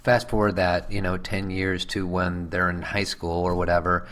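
A man's voice: low-pitched, little pitch movement, normal speed, a bit bright. A man in his thirties co-hosting a podcast, explaining his view in a casual talk. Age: 30s. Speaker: podcast co-host. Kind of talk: casual conversation.

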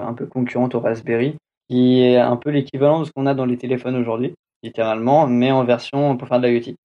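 A man's voice: medium pitch, warm tone, dull audio. A man from France chatting casually as a podcast guest, rambling with many restarts and fillers. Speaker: guest reporting on a trip.

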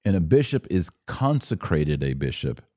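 Male podcast host, low-pitched and dry close sound, didactic, informal teaching delivery for viewer questions. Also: very animated, moderate pace, mellow, no room sound. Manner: didactic, explanatory, asks and answers own questions. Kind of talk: live Q&A, informal religious teaching.

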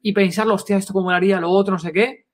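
A man's voice: sits high, fairly even intonation, rapid-fire. A man with a Spain Spanish accent hosting a casual podcast, effusive, emphatic and repetitive, swearing freely. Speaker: podcast host.

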